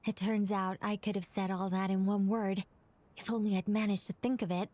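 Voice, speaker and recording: A woman's voice: medium pitch, flat delivery, brisk, a little mellow, a little hiss or hum, natural voice. A woman voicing an eager young scholarly mystic in an anime-style video game, wordy and flustered, trailing off and correcting herself. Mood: flustered, explaining.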